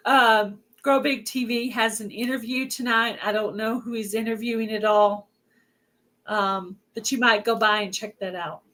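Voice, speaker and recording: A woman's voice: fairly high pitch, fairly even intonation, moderate pace, bright, forceful tone. A woman hosting a casual livestream chat, talking in a chatty way with lots of filler words. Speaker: host.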